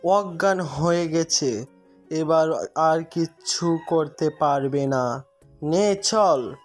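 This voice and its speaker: A woman's voice: sits low, very slow, soft background music. A woman playing a crude, menacing young male thug in an audio story, with a taunting drawl. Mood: gloating.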